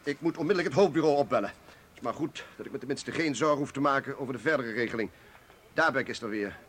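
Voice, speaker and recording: An actor playing a brusque, worried communist official in a radio play, grumbling and officious. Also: male voice, medium pitch, quick pace, a bit bright, lightly acted. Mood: irritated, worried. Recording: slightly noisy old radio drama recording.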